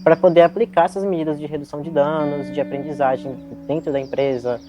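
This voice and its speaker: A man's voice: fairly high pitch, fairly even intonation, quick pace, over music. A man in his twenties with a Bahian Brazilian accent, giving a reflective, articulate interview answer in a spontaneous way, often adding little tag words. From Salvador, Bahia, Brazil. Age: 20s. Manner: reflective, articulate, frequent "né" tags. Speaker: interviewee, psychologist and DJ.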